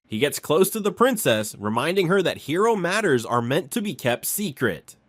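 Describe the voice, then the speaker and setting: A man narrates a scripted recap of a story. His voice sits a little high and moves with very lively, animated intonation. The sound is dry, with no room around it. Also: no room sound.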